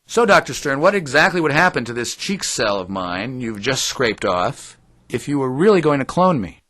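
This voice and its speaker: A man's voice: mid-range voice, big pitch swings, normal speed, a bit bright, no room sound, clean studio sound. An actor playing a skeptical, probing, dryly sarcastic male reporter in an audio drama.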